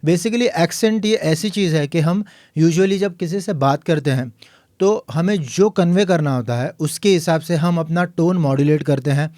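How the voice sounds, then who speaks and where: A man teaching a piano lesson, explaining calmly and counting the beats aloud. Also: a little high, no echo, clean studio sound. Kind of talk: tutorial lesson.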